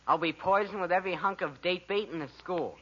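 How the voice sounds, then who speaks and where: A man's voice: high-pitched, brisk, heavy background noise, lightly acted. An actor with an American accent playing an argumentative, indignant fifteen-year-old boy in an old radio sitcom, on a muffled recording. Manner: pleading, indignant, sarcastic. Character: whiny, argumentative fifteen-year-old boy, put-upon older brother. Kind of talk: 1940s radio sitcom acting.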